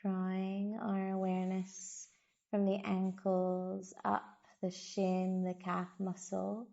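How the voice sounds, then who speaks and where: A woman guiding a body scan meditation as a yoga teacher, giving gentle, soothing instructions with long pauses. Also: medium pitch, completely flat delivery, vocal fry, dull audio.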